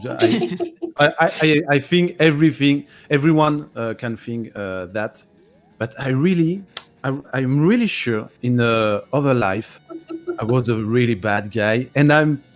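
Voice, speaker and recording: A man with a French accent, French his native tongue, chatting after a race as a guest, halting with many restarts and frequent laughs. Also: mid-range voice, animated, soft background music. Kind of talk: casual post-race chat.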